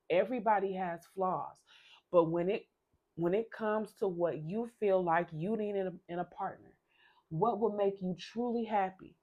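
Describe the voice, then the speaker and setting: A woman's voice: medium pitch, darkish tone. A woman doing a chatty tarot reading to camera, rambling with restarts while sick and losing her voice. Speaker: tarot reader.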